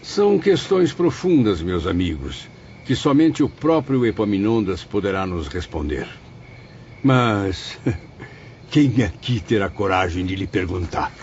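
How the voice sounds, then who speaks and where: A modest, rueful student spirit talks in a low voice with formal, theatrical phrasing. The audiobook drama sounds slightly dull, with faint ambience. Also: male voice, very animated, measured pace, a little mellow, a little hiss or hum.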